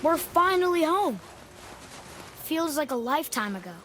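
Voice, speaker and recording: Earnest high-voiced boy. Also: very animated, normal speed. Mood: tired. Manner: earnest, youthful, casual. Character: earnest, curious boy, warrior's young son. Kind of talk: video game cutscene acting.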